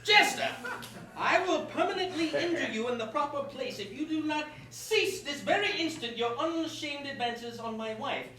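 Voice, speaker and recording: A blustering, jealous husband acted by a woman threatens in a high, forceful voice with emphatic theatrical swings. The sound is distant and echoey. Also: animated, normal speed. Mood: threatening. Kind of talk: staged reading of verse comedy. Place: roomy indoor space, far from the mic. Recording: distant echoey room with faint noise.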